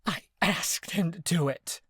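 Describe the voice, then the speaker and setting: A man's voice: a little high, a little slow, fairly bright tone, husky, dry recording, voice pushed up, full character voice. Guy doing a tough young woman, blunt, cutting, voice lifted a bit, dry sound. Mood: heated. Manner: firm and cutting.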